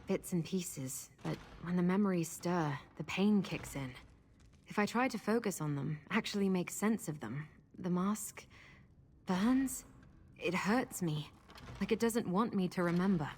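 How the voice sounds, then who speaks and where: An actress playing a kind masked immortal woman with lost memories, speaking in a gentle, reflective way. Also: lowish voice, slight background noise.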